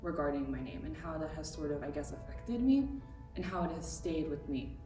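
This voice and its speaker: A woman's voice: sits low, expressive, brisk, mellow. Woman composer, just explaining things, far from the mic in a roomy space. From United States. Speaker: composer and narrator. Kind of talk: interview talk. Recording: roomy echo from far off with music.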